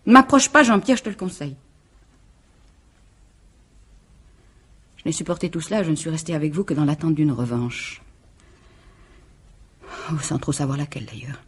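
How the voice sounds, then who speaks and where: A woman's voice: deep voice, a little fast, long pauses, breathy edge. An actress playing a bitter, jealous woman bent on revenge, theatrical and sardonic, in 1950s French radio drama style. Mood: seething, warning. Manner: theatrical, sardonic, menacing monologue. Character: bitter, jealous, vengeful scorned woman turned cold killer.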